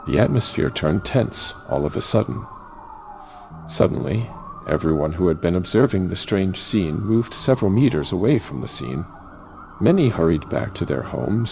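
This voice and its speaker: A man playing an eyewitness, narrating a tense first-person horror story in a gravelly voice. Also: low-pitched, heavily acted. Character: male neighbourhood eyewitness recounting a tense night. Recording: thin phone-like sound, music, sirens. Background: music and sirens.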